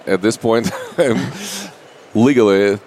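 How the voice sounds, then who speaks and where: A man's voice: fairly low pitch, takes it slowly, a little mellow, a little hiss or hum. A middle-aged man with a Russian accent, speaking as a guest in a casual interview. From Russia, Saint Petersburg.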